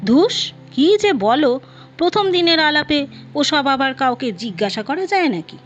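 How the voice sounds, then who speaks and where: A woman's voice: high voice, very animated, unhurried. A woman storyteller voicing an irritable middle-aged husband and father in a testy, exasperated manner. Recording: dull lo-fi audio, music behind.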